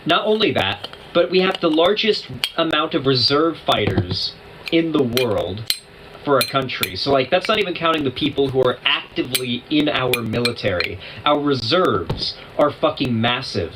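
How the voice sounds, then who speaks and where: A man's voice: medium pitch, sing-song, moderate pace. Methodical man, noisy mic. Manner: methodical, restarts often. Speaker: co-host reacting to a video. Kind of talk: casual reaction commentary.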